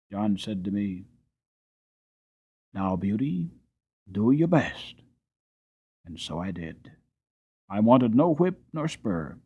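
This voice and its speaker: Animated male storybook reader. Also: fairly low pitch, breathy edge, highs cut off. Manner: expressive, animated reading. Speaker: audiobook reader. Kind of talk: dramatic storybook narration.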